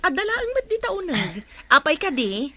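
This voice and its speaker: Very high-voiced Filipino godmother. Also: big pitch swings, normal speed, sharp tone, no echo, exaggerated voice. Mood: fussing, concerned. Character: concerned, familiar godmother and confidante to mother and boy. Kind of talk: radio drama acting.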